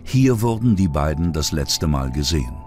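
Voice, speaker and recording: A man's voice: rumbling low, big pitch swings, moderate pace, darkish tone. A man narrating a true-crime documentary from a script. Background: music bed.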